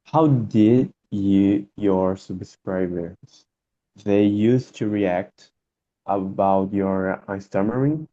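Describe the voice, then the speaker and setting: A man in an online meeting asking a question haltingly, searching for his words. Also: lowish voice, mellow, muffled recording.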